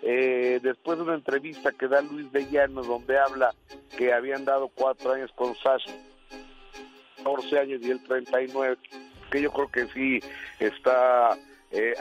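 A man's voice: mid-range voice, fairly bright tone, muffled recording. A man giving entertainment news on a radio show, talking casually and hesitantly with many fillers.

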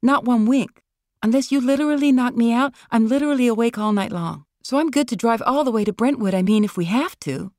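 A woman reading as an anxious, childlike man, a famous singer in a literary story. The voice is lifted high and light, babbling eagerly and earnestly, recorded close and dry. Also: brisk, darkish tone, no room sound, lightly acted.

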